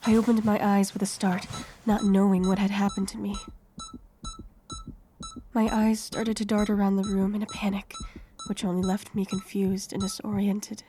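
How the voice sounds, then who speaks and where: A woman playing an earnest, anxious young heroine, narrating her own story in a measured way for an audio drama. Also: mid-range voice, level tone, mellow, soft background music, close to an everyday voice. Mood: weary, reflective. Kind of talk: scripted first-person audio drama narration.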